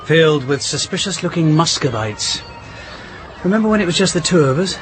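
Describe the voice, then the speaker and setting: An actor playing an urbane, persuasive English diplomat and husband in a radio drama. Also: male voice, fairly high pitch, expressive, brisk, natural voice. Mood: jocular, affectionate. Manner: urbane, old-fashioned, hesitant when coaxing. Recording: clear noise behind the voice.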